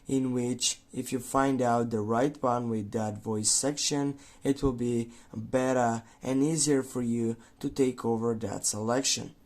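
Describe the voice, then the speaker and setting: A man presents a step-by-step screen tutorial at a slow pace. His delivery is unscripted and halting, often stopping mid-sentence before carrying on, at an average male pitch. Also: a little mellow. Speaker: tutorial host. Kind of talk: screen tutorial narration, improvised.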